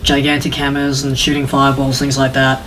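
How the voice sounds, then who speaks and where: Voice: medium pitch, completely flat delivery, fairly quick, fairly bright tone. A podcast host chatting casually about films in an informal way, often acting out mock quotes. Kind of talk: casual chat, film opinions. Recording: noisy room with background ambience.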